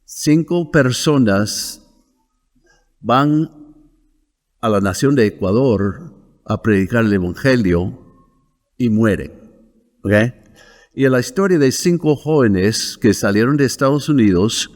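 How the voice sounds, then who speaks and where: An older man from Wisconsin with an American English accent, a missionary guest who recounts his personal testimony in a measured way, pausing often with fillers. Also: mid-range voice, animated, darkish tone, long reverb tail. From United States (Wisconsin).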